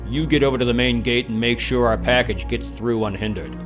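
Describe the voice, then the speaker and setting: A man's voice: mid-range voice, fairly quick, music in the background, lightly acted. A man voicing a ruthless male agency commander in a game cutscene, giving curt orders. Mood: cold, commanding. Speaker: commander of a rogue agency team.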